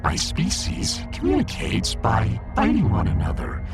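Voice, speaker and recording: A man playing a pompous, menacing alien fish emperor in a sci-fi audio drama, with a gravelly, theatrical delivery. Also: lowish voice, huge pitch swings, music in the background, heavily acted. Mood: haughty. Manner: pompous, theatrical, slow and deliberate.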